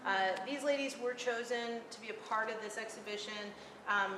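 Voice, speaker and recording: A woman's voice: a little high, moderate pace. A woman moderating an artists' panel, chatty with laughing asides. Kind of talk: unscripted panel hosting. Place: art gallery. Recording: echoey gallery with long reverb and noise.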